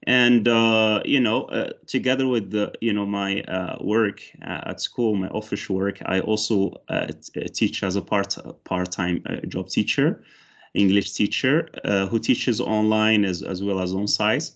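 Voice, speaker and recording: A man's voice: fairly low pitch, fairly even intonation, measured pace, lo-fi sound. A man from Morocco with a Moroccan accent, native in Arabic and Berber, an English teacher in a mock interview and demo lesson on a video call, hesitant with frequent fillers and restarts. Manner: hesitant, many fillers and restarts, rises brightly when teaching. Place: indoor room with large windows. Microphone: video call, headset.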